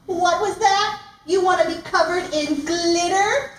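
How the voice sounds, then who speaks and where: A woman's voice: very high voice, takes it slowly, fairly bright tone, full character voice. A woman voicing a cheeky, mock-innocent giraffe in a children's puppet show, teasing playfully, recorded at a distance in an echoey room. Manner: teasing, playfully mishearing rhymes. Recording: roomy, distant mic, noisy.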